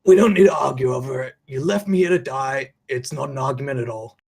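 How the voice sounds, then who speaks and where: A man playing an indignant, panicky professor with a raspy, creaky voice and an accusing manner. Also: mid-range voice, a little fast, a little mellow. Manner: exclamatory, accusing.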